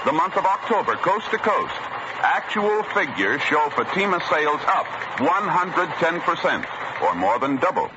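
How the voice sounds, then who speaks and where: A man's voice: on the high side, very animated, normal speed, crowd noise. A man announcing a scripted commercial in 1950s radio style. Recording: muffled, noisy vintage radio recording.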